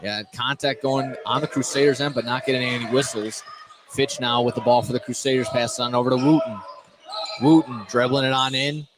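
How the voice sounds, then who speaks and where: A man gives live radio play-by-play of a basketball game. His voice sits at a middle pitch and he is excitable about the action. Clear background noise from an indoor gym surrounds him. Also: brisk. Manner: excitable, exclaims at big plays. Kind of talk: live radio sports commentary. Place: indoor high school gymnasium, courtside. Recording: noisy live gym sound. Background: loud gym ambience.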